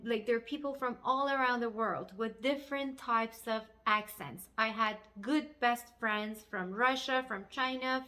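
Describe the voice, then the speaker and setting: A woman's voice: fairly high pitch, sharp tone. A woman with a Persian accent, a native Farsi speaker, talking as a life coach in an earnest, encouraging, emphatic motivational address. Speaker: life coach and video host. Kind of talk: motivational talk to camera.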